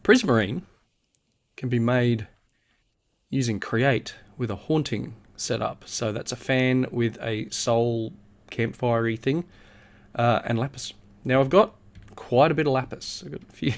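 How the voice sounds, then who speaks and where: A man with an average-pitched voice hosts a casual gaming walkthrough. He sounds unwell, with a husky and slightly nasal tone. He talks in a hesitant way, working things out aloud. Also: measured pace. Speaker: host of a gaming series. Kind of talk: casual game walkthrough commentary.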